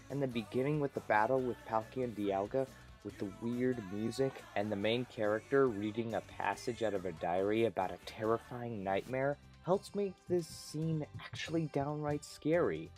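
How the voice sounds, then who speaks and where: A man's voice: medium pitch, darkish tone. Sarcastic guy hosting a countdown review. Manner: sarcastic, self-deprecating, joking. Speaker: host of a video review countdown. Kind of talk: scripted comedic review commentary. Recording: amateur recording with light background music.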